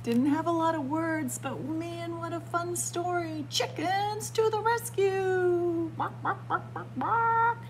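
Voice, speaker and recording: A middle-aged woman reading a picture book aloud to children in a library, playful and animated. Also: extremely high pitch, slow pace, a little hiss or hum. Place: library, in front of bookshelves. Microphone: camera at close range.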